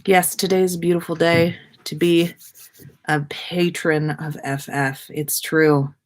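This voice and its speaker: Slow, lowish-voiced woman chatting casually. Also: little pitch movement. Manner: informal, slangy, mildly sweary. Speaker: podcast host. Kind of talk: casual livestream chat reading viewer comments.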